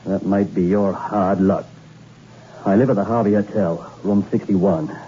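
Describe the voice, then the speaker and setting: A man's voice: deep voice, fairly even intonation, measured pace, warm tone. An actor playing a hard-boiled male investigator in a 1960s radio drama, speaking in a clipped, dry, persistent way. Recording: dull, faintly hissy old radio recording.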